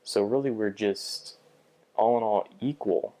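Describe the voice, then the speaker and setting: A man's voice: lowish voice, a little slow, dark tone. A young man talking through a bad job interview in a personal vlog, hesitant, with frequent restarts and fillers. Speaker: vlogger.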